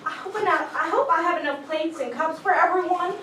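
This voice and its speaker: A woman's voice: extremely high pitch, brisk. A woman playing a sweet, scatterbrained neighbourhood hostess in a staged play reading, rambling on with sugary, backhanded politeness. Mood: flustered, chatty. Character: sweet, chatty, scatterbrained neighbourhood lady throwing a party. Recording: distant roomy hall, clear background noise.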